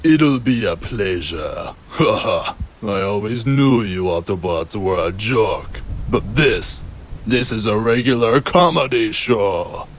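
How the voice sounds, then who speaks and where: A man's voice: lowish voice, expressive, slow pace, a little hiss or hum. A man voicing a jeering, gloating robot villain in a comic dub, with a mocking manner. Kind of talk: fan comic dub acting.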